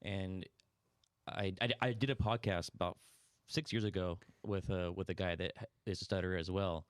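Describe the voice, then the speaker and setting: Low-voiced man with a stutter. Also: steady tone, clear, resonant tone, no echo, clean studio sound. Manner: halting, repeats words. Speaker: podcast co-host. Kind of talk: casual chat.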